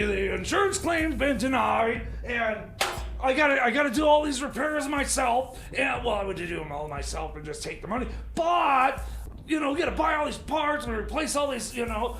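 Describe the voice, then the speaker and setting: A man playing a put-upon, defensive time machine mechanic in radio comedy, stammering through halting, scolding complaints. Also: very high-pitched, lively intonation, gravelly, a bit bright, heavily acted. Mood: aggrieved, harried. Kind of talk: comedy radio theater on community radio. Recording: music behind the voice. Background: music.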